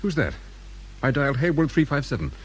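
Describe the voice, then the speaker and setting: Male actor as curt British father, indignant, demanding, medium pitch, radio drama, noisy recording. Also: sing-song, fairly quick, lightly acted. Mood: alarmed, demanding. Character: impatient, imperious father of a missing boy.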